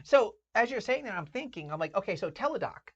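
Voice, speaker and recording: A woman pastor co-hosting a casual podcast, animated as she acts out both sides of her conversations. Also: mid-range voice, fairly quick. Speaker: pastor and co-host. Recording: dull lo-fi podcast audio.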